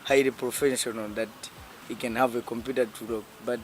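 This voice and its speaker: A man's voice: mid-range voice, fairly even intonation, a little fast, slight background noise, low-quality recording. A young man in his twenties with a Malawian English accent, a sponsored student speaking earnestly and repeating himself in an interview. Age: young adult. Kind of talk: casual interview answer.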